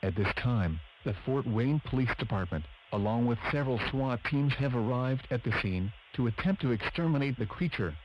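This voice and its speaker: A man with a flat, synthetic-sounding delivery reads formal scripted announcements as a weather radio broadcaster. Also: medium pitch, normal speed, few pauses, background noise.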